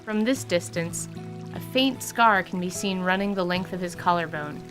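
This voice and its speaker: Woman narrating over clear music. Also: lowish voice, normal speed. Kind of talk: scripted radio-drama narration. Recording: clear music bed under voice.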